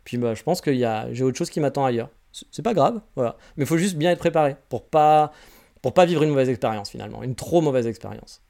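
Forties, male, from France; solo podcast host, quick and chatty, frank rambling advice. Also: medium pitch, lively intonation, creaky, warm tone. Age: 40s. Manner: candid, rambling advice with frequent restarts. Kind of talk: casual solo podcast answering listener questions.

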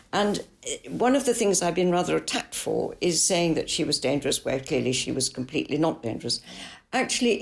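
Low, gravelly older woman author. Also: darkish tone. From Italy. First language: English. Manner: measured, thoughtful, frank. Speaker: author and biographer. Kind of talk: podcast interview answers.

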